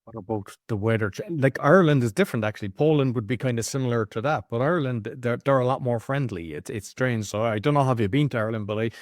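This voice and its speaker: Guy hosting a podcast, middle pitch, curious casual questions, dry close sound. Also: fast talker, few pauses, no room sound. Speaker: podcast host. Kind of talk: conversational interviewing.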